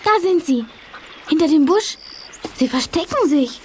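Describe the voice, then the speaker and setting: A woman's voice: sits high, expressive, a little slow, darkish tone. A woman playing a caring, decisive young girl in a children's radio play. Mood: alarmed. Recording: noisy old cassette.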